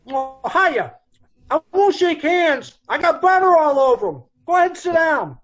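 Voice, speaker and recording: An actor playing a brusque, chatty dance hall boss with folksy, blunt speech in an old-time radio police drama. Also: male voice, extremely high pitch, very animated, normal speed, a bit bright, exaggerated voice. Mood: brusque hospitality.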